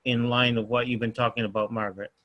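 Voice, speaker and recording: A man's voice: mid-range voice, little pitch movement, quick pace, muffled recording. A man who collects folklore, speaking earnestly on a video call with frequent ums. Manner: earnest, hesitant with um. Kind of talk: casual remarks on a video call.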